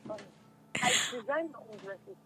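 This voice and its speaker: A woman's voice: on the high side, animated, moderate pace. A young woman answering casually in an interview, repeating phrases for emphasis. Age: young adult. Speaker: guest, stage and radio drama actress. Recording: noticeable background noise.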